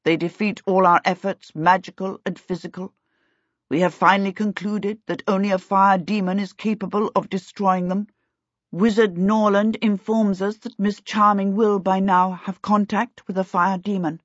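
A woman voicing a tall, calm male elf who speaks in a measured, precise and coolly polite way. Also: medium pitch, dry recording, pitched above the performer's own voice, natural voice. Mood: calm formal. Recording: dull, dry sound.

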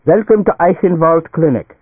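Storybook German doctor: fussy, effusive, giggly temperament, lifted animated voice, thin lo-fi sound. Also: male voice, mid-range voice, measured pace, husky, dry recording, pitched above the performer's own voice. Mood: beaming, enthusiastic. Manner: effusive, broken English sprinkled with German words. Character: male German doctor, excitable, giggling, fussily reassuring. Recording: tinny band-limited radio-like sound.

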